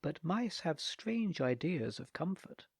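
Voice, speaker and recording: A calm, teasing lady traveller, unflustered and faintly mocking, as a male reader voices her. The delivery is measured and lively in its turns. The sound is dry and close. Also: fairly high pitch, creaky, breathy edge, dry recording, exaggerated voice. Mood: cool amused. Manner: cool, dryly amused. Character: composed, wry woman train passenger.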